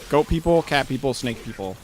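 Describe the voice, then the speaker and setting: Male gaming co-commentator: casual, jokey, bantering, middle pitch, background noise. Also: expressive, fairly quick.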